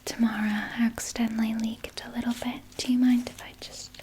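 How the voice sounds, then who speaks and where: A woman playing a vampire nurse in an ASMR roleplay, whispering breathily and coddling the listener with quiet menace. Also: a little high, level tone, a little hiss or hum, natural voice. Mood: gentle, matter-of-fact. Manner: slow, soothing, coddling with veiled threat. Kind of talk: ASMR roleplay, personal attention.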